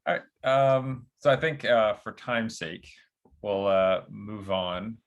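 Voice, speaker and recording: A man hosting an online user group meeting, halting and filler-heavy as he introduces a guest. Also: lowish voice, fairly even intonation, muffled recording. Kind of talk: meeting host chat. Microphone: video call.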